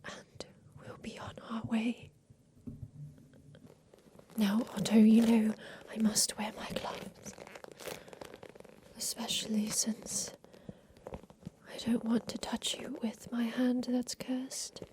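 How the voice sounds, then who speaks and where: A woman playing a tender, ailing inventor of a mechanical creature in a soft, whispered ASMR roleplay. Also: fairly high pitch, flat delivery. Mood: gently fussing. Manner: slow, gentle, soothing, with pauses.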